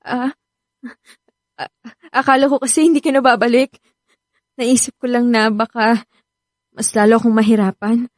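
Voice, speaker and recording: A woman's voice: fairly high pitch, fairly even intonation, normal speed, long pauses, no room sound, studio quality, close to an everyday voice. An actress playing a remorseful young woman in tears with a Filipino accent in a radio drama. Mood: tearful, hesitant. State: crying. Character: tearful, remorseful young woman begging an ex back.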